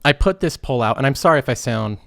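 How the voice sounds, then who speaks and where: A thirty-year-old man getting over a cold talks through personal finance in a casual, earnest way, pausing and restarting as he thinks. His mid-pitched voice sounds dry and close, with no room echo. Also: expressive, vocal fry, fairly bright tone. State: recovering from a cold. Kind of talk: casual explanatory monologue.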